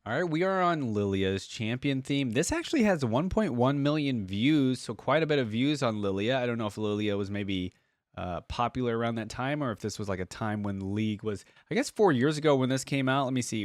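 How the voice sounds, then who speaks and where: A man's voice: medium pitch, animated, brisk, dry recording. A man giving casual reaction commentary as a video host, informal and musing as he thinks aloud. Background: music from the videos being played.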